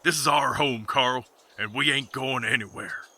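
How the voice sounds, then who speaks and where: A man playing a gruff hillbilly farmer and father in a comedic western audio drama, blunt and folksy, with a Southern US accent. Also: mid-range voice, normal speed, sharp tone. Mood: defiant.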